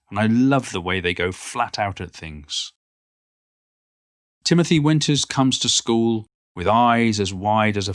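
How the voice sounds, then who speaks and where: A man's voice: lowish voice, dry recording, clean studio sound, close to an everyday voice. A man playing an elderly poet and former schoolteacher, reminiscing in a modest, wry and reflective monologue. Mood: fond, enthusiastic, then reciting verse. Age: older. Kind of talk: reflective first-person monologue, read from a book.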